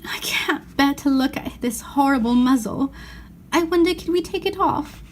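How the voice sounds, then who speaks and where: Woman as a high-voiced, tender young girl. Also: normal speed, slight background noise, natural voice. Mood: hushed grief. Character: tender, sorrowful young girl in a fairy tale.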